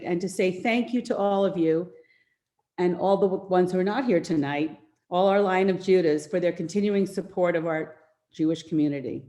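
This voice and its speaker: A woman's voice: medium pitch, dark tone. Middle-aged lady hosting, reading a scripted welcome on a video call. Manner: formal, reads a scripted welcome. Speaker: event co-chair and host. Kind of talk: prepared welcome remarks. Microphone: video call mic.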